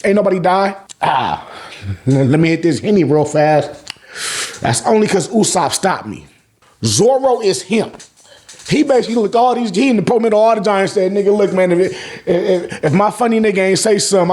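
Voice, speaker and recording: A man's voice: high-pitched, lively intonation, normal speed, darkish tone. An American man hosting a casual, slangy and profane recap, sometimes acting out lines as he goes. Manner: profane, informal, acts out characters' lines. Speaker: YouTube host reviewing manga chapters.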